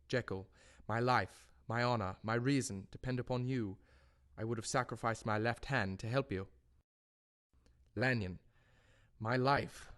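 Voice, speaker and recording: A man's voice: medium pitch, natural voice. A young man playing a desperate, doomed Victorian doctor in an audio drama, speaking his letters in a grave, pleading, formal way. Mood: earnest. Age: young adult.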